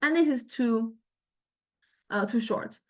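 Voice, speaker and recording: Higher-pitched woman lecturing, halting and hesitant. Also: a little mellow. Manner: hesitant, halting, often repeating words. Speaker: music technology lecturer. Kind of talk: informal software demonstration lecture.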